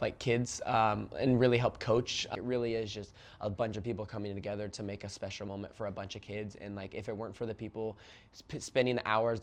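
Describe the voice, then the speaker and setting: Young guy from oregon, soccer coach, talks fast, earnest, lots of ums and restarts. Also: lowish voice, a little mellow. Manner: earnest, fast talker, many fillers and restarts. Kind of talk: casual interview, personal story.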